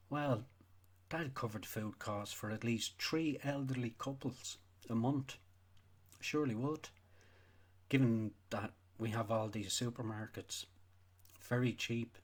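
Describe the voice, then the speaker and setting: A man with an Irish accent delivering an unscripted, opinionated rant as a stream host, blunt and sweary, repeating phrases for emphasis. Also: fairly low pitch. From Ireland. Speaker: livestream host.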